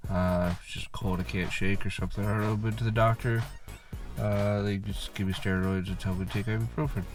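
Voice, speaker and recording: Congested man with a low voice. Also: fairly quick, soft background music. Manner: relaxed, filler-heavy explaining. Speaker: car repair channel host. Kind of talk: casual how-to walkthrough. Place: working at a car.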